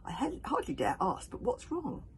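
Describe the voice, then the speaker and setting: A woman's voice: fairly high pitch, huge pitch swings, moderate pace, a little hiss or hum, pitched above the performer's own voice. A fretful young Viking boy groans and fusses in a raised voice, as a woman reads a storybook. He is dramatic and easily alarmed. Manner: groaning, fussy.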